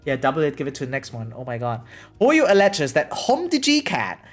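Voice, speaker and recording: A streamer with a medium-pitched voice giving casual reaction commentary, reading aloud and chatting in an animated, exclamatory way, often checking in with "right?". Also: a little fast. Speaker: variety streamer. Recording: music behind the voice. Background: music.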